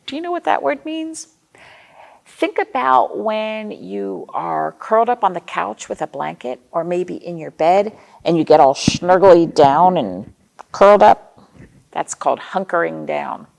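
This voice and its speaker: A woman leads a storytime for little children. Her pitch swings widely and expressively, with a creaky edge to the voice. She talks directly to her young listeners and draws them in with questions. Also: sing-song, unhurried, darkish tone. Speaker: children's librarian and storytime host.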